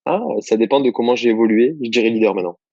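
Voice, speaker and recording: A man's voice: mid-range voice, fast talker, darkish tone, dry recording, dull audio. A young man from southern France, answering interview questions about his work as a copywriter in a loose, rambling way, full of fillers and restarts. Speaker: guest, copywriter. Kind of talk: casual interview chat.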